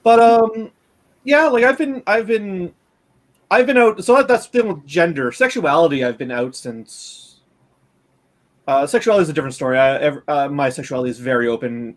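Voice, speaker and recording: A man in his late thirties, speaking as a panelist in casual conversation, candid and halting with many fillers and restarts. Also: mid-range voice. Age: late 30s.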